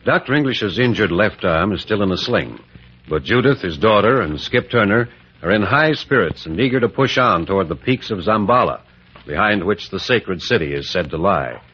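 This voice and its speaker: Deep-voiced commanding adventure hero played by a man. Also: expressive, lightly acted. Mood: descriptive. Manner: dramatic, deliberate. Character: commanding adventurer captain, hero and narrator of the expedition. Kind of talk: old-time radio adventure acting and narration. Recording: dull, noisy vintage radio recording.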